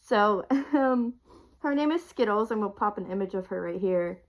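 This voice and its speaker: A woman's voice: medium pitch, expressive, moderate pace. A woman in her twenties getting over a cold, sniffly, chatting casually and rambling with ums and small laughs as a video creator. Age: 20s. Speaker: content creator.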